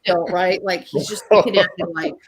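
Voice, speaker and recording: An older woman, a grandmother, co-hosting a casual chat and telling animated stories with frequent laughter. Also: on the low side, moderate pace. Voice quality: voice worn from years of teaching. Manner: animated storyteller, laughs often. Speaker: co-host. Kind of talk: casual chat on a streamed art show.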